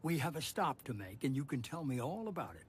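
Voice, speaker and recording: A man playing a kindly, dignified old grandfather in an animated film. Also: medium pitch, fairly quick, heavy background noise, close to an everyday voice. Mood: warm. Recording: loud film music underneath.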